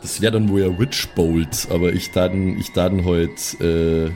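A man's voice: low voice, steady tone, unhurried, darkish tone, a little hiss or hum. A man talking casually as a player in a tabletop roleplaying game.

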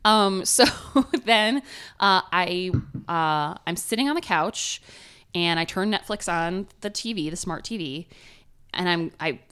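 A woman's voice: lowish voice, unhurried, fairly bright tone, clean tone. A woman with a nasal voice, co-hosting a podcast and telling a story in casual chat, laughing often. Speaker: podcast co-host.

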